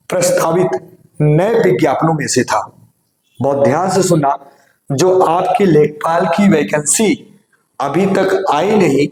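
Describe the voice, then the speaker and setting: A man who teaches exam coaching gives students a news update in an earnest voice. He sounds slightly hoarse and keeps urging them to listen carefully. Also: a little high, a little mellow. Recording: roomy echo.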